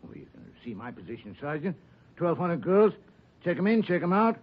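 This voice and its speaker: Glib union steward, bouncy lively voice, dull old radio sound. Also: male voice, fairly high pitch, moderate pace. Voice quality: breezy, glib. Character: evasive male union steward, breezy and glib. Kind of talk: 1940s radio acting. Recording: dull 1940s radio recording.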